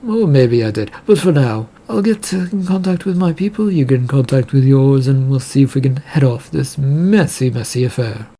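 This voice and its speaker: A man's voice: medium pitch, expressive, normal speed, hardly any pauses, warm tone. A man voicing a scheming, well-connected businessman in a story, wry and confident. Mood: wry, brisk.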